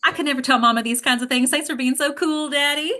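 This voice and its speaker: Bright, dramatic teenage girl played by a woman. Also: high voice, fairly even intonation, fast talker, pitched above the performer's own voice. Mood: breezy. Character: dramatic, frank teenage daughter, devoted girlfriend.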